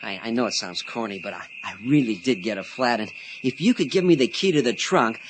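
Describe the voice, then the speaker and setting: A man's voice: medium pitch, expressive, fairly quick, a little hiss or hum, close to an everyday voice, low-quality recording. An actor in a radio drama playing an eager, chatty young man, boyish, polite and rambling. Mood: eager, nervous. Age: young adult. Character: eager, chatty young male Senate page.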